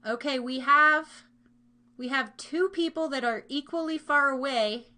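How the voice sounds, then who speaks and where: An American woman with a fairly high voice hosts a live stream trivia game. She chats casually and playfully with her listeners, dropping in little asides as she goes. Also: a little slow, bright, forceful tone. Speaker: live show host running a trivia game.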